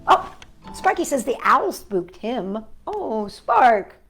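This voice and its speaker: High-pitched woman hosting children's storytime. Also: sing-song, slow pace, fairly bright tone, husky, faint music behind. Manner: sing-song, addresses children and a puppet or pet companion. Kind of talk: warm-up chat and picture-book reading for young children.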